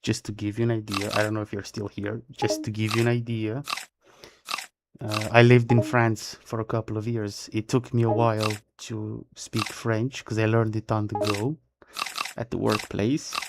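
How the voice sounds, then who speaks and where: A man's voice: fairly low pitch, flat delivery, moderate pace, vocal fry, very noisy. A man streaming a game live, chatting casually in English. His first language is close to Italian, and he often repeats and rephrases himself. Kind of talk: casual live-stream chat while playing.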